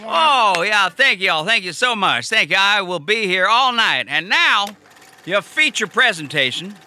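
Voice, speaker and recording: An actor playing a showy, grandiose drive-in emcee in a comic audio drama, announcing theatrically. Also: male voice, high-pitched, big pitch swings, measured pace, pushed and bright, full character voice. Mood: jokey. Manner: hammy, grandiose. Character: showy male drive-in emcee telling corny jokes.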